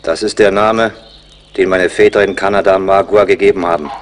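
An actor playing a sly, proud Huron scout in a radio play, solemn and guarded in manner. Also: male voice, sits low, fairly even intonation, a little hiss or hum, natural voice. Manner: slow, solemn, speaks of himself in third person. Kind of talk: German radio play acting.

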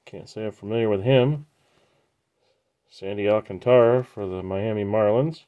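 A man hosting a trading card break, casually naming each card as he opens the packs in an unhurried, matter-of-fact way. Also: lowish voice, clean tone, narrow, tinny audio. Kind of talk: casual pack-opening commentary.

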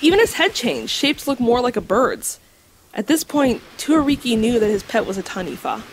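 A woman playing an earnest, scholarly guide to mythical creatures in an audio drama, explaining in a measured, slightly formal way. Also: on the high side, big pitch swings, a little hiss or hum, rough recording. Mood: solemn storytelling. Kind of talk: audio drama acting, storytelling. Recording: music under the voice.

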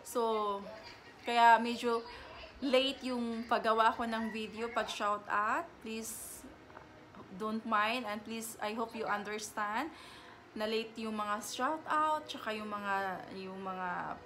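Filipino woman, 30s, home vlogger, halting chatty delivery, bright tone, faint room noise. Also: a little high, fairly even intonation. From Philippines. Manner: halting, restarts often, slow and chatty. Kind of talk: casual vlog chat mixing English and Tagalog. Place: home living room, indoors. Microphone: camera in front, seated.

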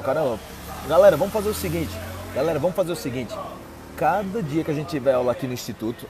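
A young Brazilian man with a Brazilian Portuguese accent, a Portuguese teacher chatting casually to viewers, checking in often with "ok?". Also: on the high side. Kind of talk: casual live-stream chat. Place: outdoors on a city street with trees. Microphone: earphone mic on a phone held close.

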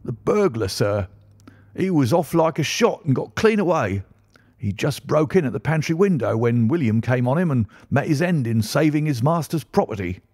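A man's voice: mid-range voice, animated, normal speed, darkish tone, breathy edge, dry recording, clean studio sound, pitched above the performer's own voice. A man voicing an agitated, deferential butler gasping out shocking news in an audiobook story. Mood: agitated, shocked.

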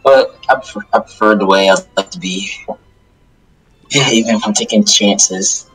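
Low-voiced, laid-back demon, played by a man. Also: moderate pace, fairly bright tone, natural voice, rough recording. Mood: calm, assured. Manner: laid-back, murmuring hums, repeats phrases. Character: calm, easygoing male demon, mild and polite. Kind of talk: improvised game roleplay dialogue. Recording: faint music behind the voice.

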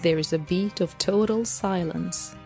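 A woman narrating a satirical audio drama in a wry, measured way. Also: lowish voice, vocal fry, a little mellow, soft background music. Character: wry female storyteller of heavenly satire.

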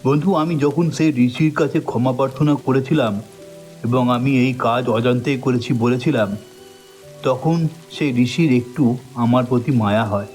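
A man's voice: medium pitch, a little slow, darkish tone, soft background music, lightly acted. A man voicing a cursed prince turned tiger, an earnest and grateful friend, in a formal cartoon storybook manner. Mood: earnest, sorrowful.

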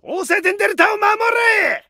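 An actor playing a fierce Japanese infantry soldier, barking aggressive combat orders in a game. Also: male voice, extremely high pitch, lively intonation, normal speed, pushed and bright, no room sound, raised voice, clean studio sound, full character voice. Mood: shouting orders. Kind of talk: shouted combat barks.

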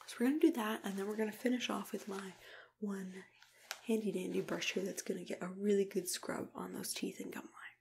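Young woman whispering softly, ASMR dentist roleplay. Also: mid-range voice, fairly even intonation, a little fast, slight background noise. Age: young adult. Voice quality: soft, breathy, mostly whispered. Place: small plain room indoors.

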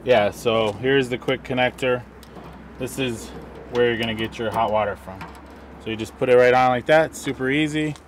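A man's voice: mid-range voice, moderate pace, slight background noise. A middle-aged man casually walking through a product setup step by step, outdoors in the woods. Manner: easygoing, explains step by step while handling gear. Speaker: camping vlogger. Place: outdoors in sunny woods by a vehicle.

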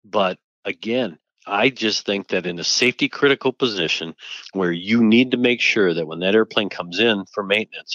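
A man's voice: fairly low pitch, a bit bright, dry recording, dull audio. A man co-hosting an aviation safety podcast, talking emphatically and pressing indignant rhetorical questions. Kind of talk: conversational podcast discussion.

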